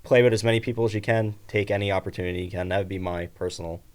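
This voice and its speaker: A man, a bassist guesting on a podcast, giving earnest and measured advice. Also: fairly even intonation. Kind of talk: casual interview chat.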